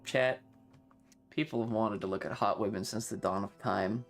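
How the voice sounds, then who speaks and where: A man chatting casually on a livestream, rambling and halting, swearing freely as he talks. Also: on the low side, faint music behind. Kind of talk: casual rambling stream chat.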